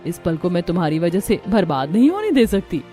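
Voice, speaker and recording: A woman's voice: lowish voice, big pitch swings, fairly quick, dark tone, faint music behind. A woman playing a selfish, scolding sister-in-law in a cartoon story, with an indignant, sarcastic manner. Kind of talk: dramatized Hindi moral story cartoon.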